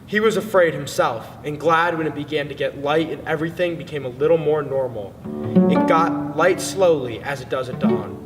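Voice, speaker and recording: A man's voice: on the high side, moderate pace. A man giving a solemn, dramatic narration of a literary story. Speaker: dramatic reader. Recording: distant, long reverb, music behind.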